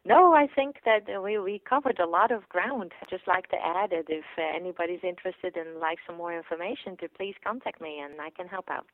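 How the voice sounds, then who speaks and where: A woman's voice: fairly low pitch, fairly quick, hardly any pauses, dry recording. Dutch-born woman professor, patient explainer, heard over a thin phone line. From Netherlands. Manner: thoughtful, explanatory, frequent restarts. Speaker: professor, guest expert. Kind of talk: interview answers explaining engineering.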